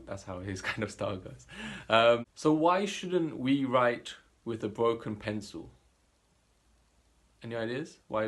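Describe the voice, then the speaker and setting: Male host, lowish voice, informal and a little halting, casually introducing to viewers. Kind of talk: casual spoken introduction to camera.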